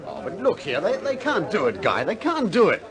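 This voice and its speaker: An actor playing a blunt, loyal bomber pilot in a 1950s British war film, talking in an informal, slangy way. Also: male voice, high-pitched, huge pitch swings, moderate pace, crowd noise. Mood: protesting.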